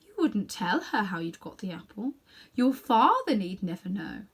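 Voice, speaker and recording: A woman's voice: mid-range voice, sing-song, normal speed, husky. A woman voicing a fierce, scornful witch who coaxes and tempts insistently in a children's storybook. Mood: sly sweet tempting. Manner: imperious, insistent persuasion.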